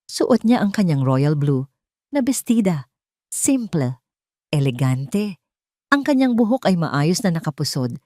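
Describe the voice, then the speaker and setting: A woman's voice: on the low side, big pitch swings, measured pace, pauses often, a little mellow, dry recording, studio quality. A woman with a Filipino accent narrating a scripted dramatic story.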